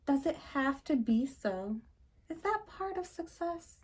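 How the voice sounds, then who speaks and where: Woman, fairly high voice, unhurried storytime reader, sing-song rhyming delivery. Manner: lively sing-song reading with slow emphatic pauses. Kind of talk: reading a rhyming picture book aloud.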